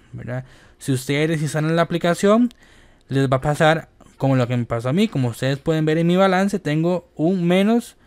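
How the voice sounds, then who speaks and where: Halting man presenting a casual tutorial. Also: on the high side. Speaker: YouTube host. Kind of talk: casual app tutorial narration over screen recording.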